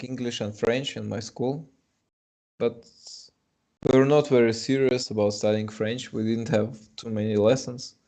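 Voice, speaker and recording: A man's voice: medium pitch, darkish tone. A young man with a Russian accent, a native Russian speaker, doing live chess commentary in English, chatty and hesitant with many fillers.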